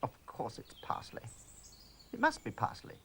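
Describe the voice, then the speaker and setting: A man voicing a prim, fussy elderly lady of the manor in a swooping falsetto. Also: huge pitch swings, a little slow, fairly bright tone, slight background noise, exaggerated voice.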